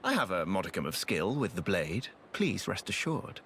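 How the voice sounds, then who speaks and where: An actor playing an unctuous, scheming soldier in a video game, speaking in a flowery, obsequious, archaic formal way. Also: male voice, deep voice, sing-song, normal speed, fairly bright tone, a little hiss or hum, full character voice. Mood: eager, servile. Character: fawning male soldier, unctuous and scheming courtier.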